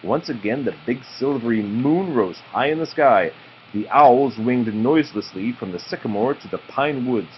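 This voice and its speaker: A man reading a children's picture book aloud to his child in a slow, drawn-out storytelling way, with informal asides. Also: medium pitch, lively intonation. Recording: muffled home recording with background noise.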